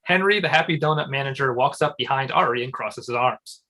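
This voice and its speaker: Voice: mid-range voice, fairly quick, pushed and bright. A narrator reading scripted stage directions aloud at a live comedy table read.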